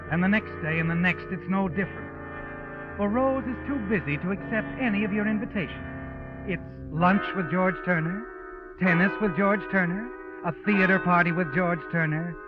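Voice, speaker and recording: An actor playing a sardonic, all-knowing storyteller, insinuating and ominous, in a 1940s radio mystery. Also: male voice, high-pitched, natural voice. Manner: taunting, insinuating, second-person narration. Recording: dull vintage radio with music. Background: music.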